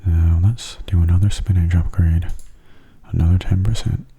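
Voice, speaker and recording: A man giving gentle, soft-spoken ASMR game commentary in a breathy, near-whispered voice, unhurried and close to the microphone. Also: low-pitched, fairly even intonation. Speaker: gaming channel host.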